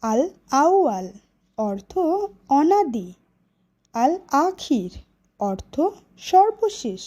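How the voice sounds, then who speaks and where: Female cartoon schoolteacher, acted by a woman: high, lively intonation, deliberate classroom delivery. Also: full character voice. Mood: instructive. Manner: deliberate, clearly instructive delivery. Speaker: teacher. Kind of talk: educational cartoon lesson, recitation and questioning.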